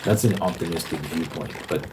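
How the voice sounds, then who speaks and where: A man with a very deep voice delivers an opinionated ASMR ramble. He talks haltingly and repeats phrases to stress them. Clear background noise with keyboard typing runs behind him. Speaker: ASMR host.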